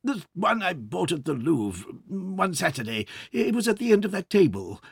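A man's voice: high-pitched, very animated, normal speed, vocal fry, husky, no echo, studio quality, voice pushed up, heavily acted. A man voicing a frantic stammering father. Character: panicked middle-aged French father.

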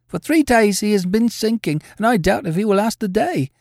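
An anxious older landlady, acted out by a man, pleads in a high, swooping voice. The delivery is urgent and deferential, full of storybook distress, with a close, dry sound. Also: expressive, normal speed, darkish tone, no echo.

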